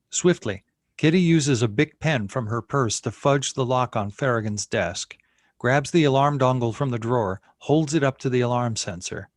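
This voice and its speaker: Dry, mid-pitched man narrating. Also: dry recording. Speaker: narrator reading stage directions. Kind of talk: scripted narration at a screenplay table read.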